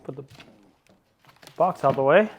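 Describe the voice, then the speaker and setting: A man chatting through a casual car-parts unboxing, excitable and rambling, still a little sniffly from a cold. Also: a little high, measured pace, dull audio, a little hiss or hum. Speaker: car vlogger.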